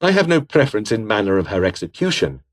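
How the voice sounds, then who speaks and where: An actor voicing a scheming, haughty British officer in a video game, with a pompous, formal, disdainful way of speaking. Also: male voice, lowish voice, sing-song, fast. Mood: conspiratorial, cold. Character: scheming, haughty British political officer, coldly ambitious man. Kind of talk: scripted game character dialogue.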